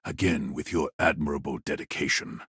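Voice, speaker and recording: Casting: ancient villainous mage, extremely low raspy voice, gloating and sinister, dramatised audiobook. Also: male voice, fairly even intonation, fairly bright tone, no room sound, exaggerated voice. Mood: mocking, cold. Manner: slow, sinister, condescending. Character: wicked old male magus patriarch, cold, mocking, gloating.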